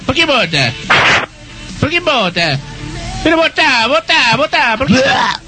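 A very high, squeaky comic radio character, indignant and argumentative. The delivery swings widely, stammering and repeating complaints, with music playing underneath. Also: male voice, sing-song, unhurried, pushed and bright, full character voice. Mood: insistent. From Argentina. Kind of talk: live radio comedy banter.

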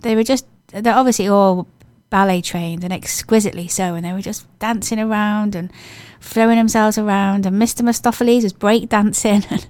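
Croaky-voiced English woman radio presenter. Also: medium pitch, fairly even intonation, normal speed, darkish tone, no echo. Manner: chatty, gushing, rambling with restarts. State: hoarse, croaky from a cold. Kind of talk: casual radio chat.

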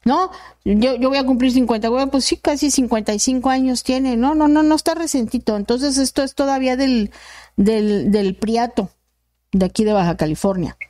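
News host: woman in her 50s, Mexican accent, outspoken and slangy, slightly high voice. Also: moderate pace, dark tone. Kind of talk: casual news talk.

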